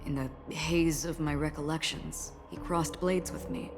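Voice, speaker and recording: An actress playing a calm, enigmatic woman swordfighter in a game, speaking in a measured, formal, cryptic way with wistfulness. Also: deep voice. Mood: wistful, formal. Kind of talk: video game story acting. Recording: long reverb, clear music behind. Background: music.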